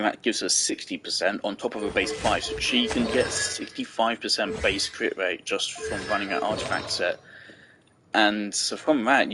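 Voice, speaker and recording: A man's voice: lowish voice, sharp tone, a little hiss or hum, poor audio. A man giving casual gameplay commentary, rambling and thinking aloud as he goes. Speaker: game streamer.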